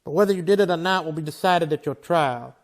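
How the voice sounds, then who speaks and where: A man's voice: a little high, quick pace, natural voice. An actor playing a stern, wry Old West marshal in a radio drama, blunt and folksy in his manner. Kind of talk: old-time western radio drama acting.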